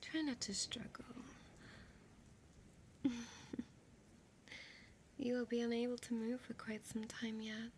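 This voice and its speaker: Woman doing an ancient vampire lady for audio roleplay, breathy whisper, super slow n possessive, kinda high voice, seductive. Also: flat delivery, a little mellow, slight background noise. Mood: teasing, doting. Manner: slow, drawn-out, teasing delivery. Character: ancient female vampire, seductive, possessive, doting on her captive.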